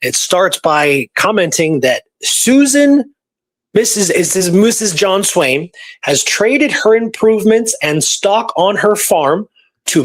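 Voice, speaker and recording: A man's voice: sits high, a bit bright. A man hosting a history podcast, conversational and speculative, reading from old records and often checking in with his listener. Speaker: podcast host presenting historical research.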